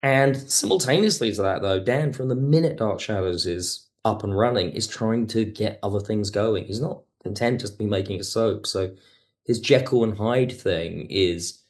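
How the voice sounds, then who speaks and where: Guy guesting on a podcast, lowish voice, chatty, discursive, rephrases himself as he goes. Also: moderate pace, a little mellow. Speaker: podcast guest, audio drama writer. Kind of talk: casual discussion.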